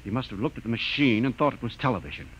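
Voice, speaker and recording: An actor playing an earnest, brainy space explorer, urgent and explanatory, in old-time radio drama style. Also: male voice, mid-range voice, racing, husky, close to an everyday voice. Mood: grave, explanatory. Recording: dull old radio recording.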